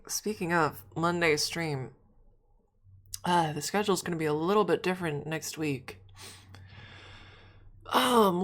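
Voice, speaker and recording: A young adult woman, a little snuffly, chatting casually on a stream, rambling with frequent restarts. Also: deep voice.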